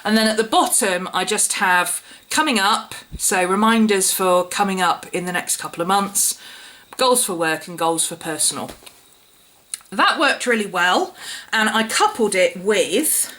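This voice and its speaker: A woman with a cold, her voice croaky and husky, chatting casually as she explains her journal step by step. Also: mid-range voice, lively intonation, measured pace, sharp tone. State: hoarse from a cold. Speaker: planner YouTuber. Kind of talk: casual show-and-tell vlog.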